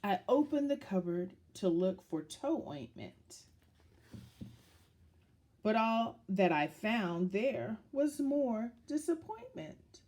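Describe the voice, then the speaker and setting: A woman reading a children's picture book aloud in a dramatic, deliberate storytelling manner, as if to young listeners. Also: medium pitch, big pitch swings, a little mellow.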